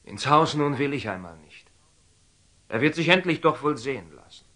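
An actor playing a proud, hot-headed knight in love, in an agitated, self-questioning monologue from a classical radio drama. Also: male voice, fairly high pitch, lively intonation, moderate pace, sharp tone. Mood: irritated.

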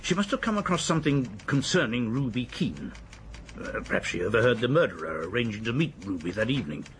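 An actor with an English accent playing a senior police colonel in a radio drama, pondering and questioning with authority in a very husky voice. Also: male voice, mid-range voice, big pitch swings, fairly quick, a bit bright, slight background noise. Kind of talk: British radio drama acting.

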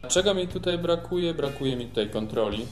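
A man's voice: fairly high pitch, expressive, moderate pace. A man chatting casually while doing microphone checks. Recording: roomy echo, loud music behind.

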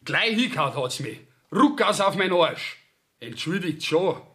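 High-voiced indignant Bavarian peasant lad. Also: male voice, animated, a little slow, sharp tone, exaggerated voice. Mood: aggrieved. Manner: earthy, agitated storytelling. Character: indignant, rough young peasant lad, plain-spoken. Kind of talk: 1970s dialect radio play acting.

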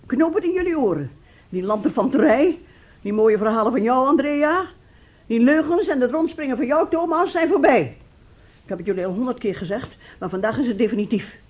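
A woman's voice: on the high side, lively intonation, fairly quick, a little mellow, lo-fi sound. A woman acting a stern, middle-aged mother in a radio play, scolding and commanding. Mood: fed up, stern. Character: stern, exasperated mother laying down the law.

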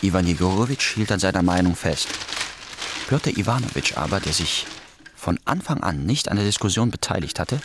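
An actor playing a performer who reads a literary text aloud at a rehearsal. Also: male voice, low voice, lively intonation, fairly quick, background noise. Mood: measured. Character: deep-voiced male actor reading prose at rehearsal.

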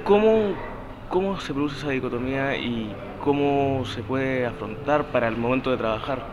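A man's voice: medium pitch, normal speed, noisy background. A man interviewing a guest, asking formal questions and giving brief affirmations.

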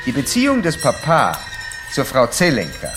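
An actor playing an insistent psychoanalyst who lectures a patient in formal, didactic, old-fashioned German radio drama style. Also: male voice, medium pitch, huge pitch swings, normal speed, music in the background. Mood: lecturing. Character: male psychoanalyst doctor, insistent, certain, lecturing his young patient.

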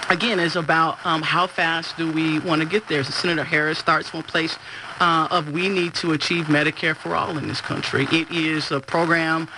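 A man with a slightly high voice who answers questions in a measured, halting way, full of fillers and restarts. He sounds like he is out in the street, with steady background noise around him. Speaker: campaign senior advisor. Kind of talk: on-the-street interview.

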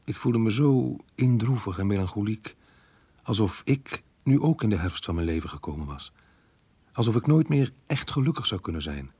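An actor playing a melancholy married man reading his diary in a radio drama, earnest, reflective and measured. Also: fairly low pitch, a little mellow, breathy edge, no room sound. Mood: melancholy, wistful. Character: married man, melancholy diarist torn by guilt over lost love.

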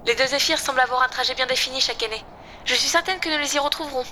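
A woman's voice: sits high, very fast, bright, forceful tone, a little hiss or hum. A woman voicing a precise, literal-minded AI weapon companion with dry wit in a science-fiction audio drama. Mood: matter-of-fact. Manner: matter-of-fact, precise, dryly witty.

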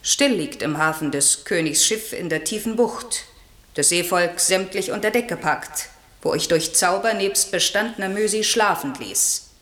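A woman's voice: lowish voice, normal speed, fairly bright tone, natural voice. An airy spirit servant played by a woman speaks in a wash of echo. The spirit is eager and proud, declaiming verse with vivid theatrical flourish, as in a classical German radio play. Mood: reporting proudly.

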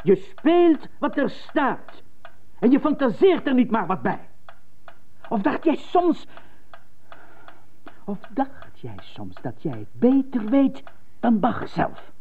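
An actress in a radio drama playing a strict, condescending piano teacher who lectures emphatically. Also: female voice, a little high, big pitch swings, slow pace, long pauses, slightly rough, full character voice. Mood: scolding. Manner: emphatic, lecturing, repeats for effect. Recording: thin phone-like radio tape.

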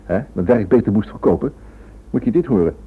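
A man's voice: fairly low pitch, lively intonation, a little fast, husky. A male actor playing an earnest, curious writer husband in a radio drama, with a hesitant, questioning manner. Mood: eager. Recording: old narrow-band radio recording.

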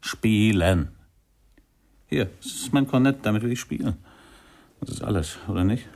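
Low-voiced, boozy, weary young jazzman. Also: normal speed, vocal fry, darkish tone. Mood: weary, fed up. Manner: rambling, confiding, broken phrases with muttered brr sounds. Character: weary, boozy, disillusioned young male jazz cornettist. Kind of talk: radio play monologue.

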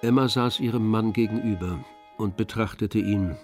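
Measured male narrator with faint music. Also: fairly low pitch, fairly even intonation, a little mellow. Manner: measured storytelling. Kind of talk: scripted literary narration for a radio play.

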